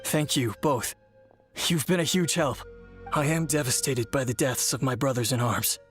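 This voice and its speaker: An actor playing a grave, weary male warrior in a video game, a sole survivor with a husky voice. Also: medium pitch, normal speed, fairly bright tone, natural voice. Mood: solemn gratitude. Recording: game music behind the voice.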